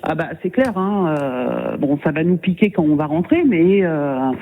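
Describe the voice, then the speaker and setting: A woman calling in to a radio show, chatting in an easygoing, relaxed way and repeating her words. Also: low-pitched, a little slow. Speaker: caller. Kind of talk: casual phone chat on the radio. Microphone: phone line. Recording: tinny phone line.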